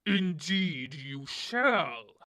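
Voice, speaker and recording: A woman voicing a monstrous male goblin king in a storybook reading, speaking slowly and threateningly. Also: sits low, very animated, fairly bright tone, heavily acted. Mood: menacing. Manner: slow, looming, threatening. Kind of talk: storybook read-aloud.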